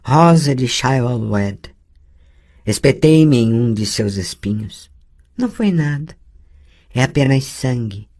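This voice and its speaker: A young girl's voice rises high and lively, moving from eerie calm to panic, as a man reading aloud puts her on. The pitch leaps far above a plain speaking voice. A storybook child in a trance. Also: slow pace, long pauses. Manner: screaming in panic. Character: young girl in a frightened trance, frantic. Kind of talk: audiobook character voice.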